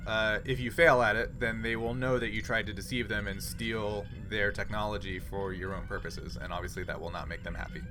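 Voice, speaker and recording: A man acting as game master, explaining the situation of a sci-fi tabletop game in a halting, improvised way. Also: on the low side, level tone, noisy background.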